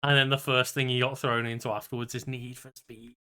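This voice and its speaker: A man's voice: mid-range voice, little pitch movement, a little fast, bright, forceful tone, clear, resonant tone. A man talking casually as the co-host of a podcast, in loose conversational speech.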